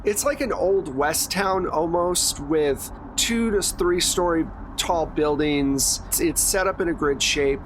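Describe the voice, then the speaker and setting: A man's voice: a little high, slow, hardly any pauses, low-quality recording. Man narrating a tabletop game over background noise. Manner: descriptive, casual, unscripted storytelling. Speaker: game master.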